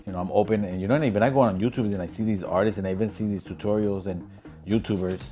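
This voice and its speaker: Man, makeup artist, chatty, bilingual, fairly low voice, faint background music. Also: fairly even intonation, very fast, warm tone. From born in New York, raised in Puerto Rico. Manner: chatty, switches between English and Spanish. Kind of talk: casual chat while doing makeup.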